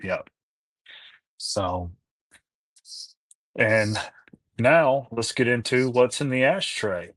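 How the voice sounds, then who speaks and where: A man hosting a podcast, talking in a slow, hesitant way with lots of fillers while reading a sponsor spot and chatting. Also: mid-range voice, expressive, a bit bright, poor audio. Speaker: podcast host. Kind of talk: casual podcast chat with sponsor read.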